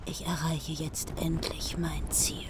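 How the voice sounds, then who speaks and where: Radio play: a relentless grieving queen, slow and hushed. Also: low-pitched, flat delivery, in a whisper. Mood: hushed menace. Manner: hushed, intense. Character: vengeful bereaved queen, cold and relentless.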